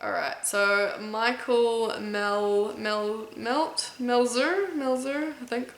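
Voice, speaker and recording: A woman with a mix of New Zealand and American accent, a competitive eater answering viewer questions in a chatty way, often pausing with "um" and tripping over names. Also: fairly high pitch, steady tone, a bit bright, reverberant. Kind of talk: casual Q&A vlog.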